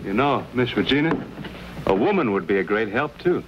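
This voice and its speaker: A man plays a cool, defiant frontiersman in a 1950s TV western. He talks plainly and dryly, with a lively rise and fall. The sound is dull and lightly hissy. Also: on the low side, moderate pace, close to an everyday voice. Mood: earnest. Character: cool, stubborn frontiersman, polite but defiant. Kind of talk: 1950s television western acting. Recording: muffled, hissy old TV soundtrack.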